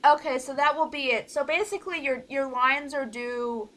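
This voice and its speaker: A woman's voice: sits high, moderate pace, a bit bright. A woman leading a casual online group meeting, talking informally through instructions step by step. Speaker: organizer leading a voice project meeting.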